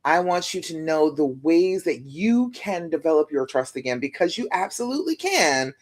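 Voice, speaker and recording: A woman relationship coach with a deep voice, talking casually and reassuringly to viewers on a phone livestream, often checking in with "okay?". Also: big pitch swings, normal speed. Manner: warm, chatty, reassuring, tag questions like "okay?". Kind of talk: casual live-stream coaching talk. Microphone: phone live stream.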